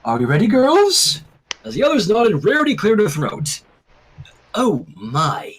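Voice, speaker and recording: A husky-voiced man reads fiction aloud for a stream. His pitch sits a little high and swings widely as he moves between narration, acted dialogue and casual asides. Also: a little slow. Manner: expressive, acts out dialogue while narrating. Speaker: fanfic reader and stream co-host. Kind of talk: animated storytelling read aloud with casual chat.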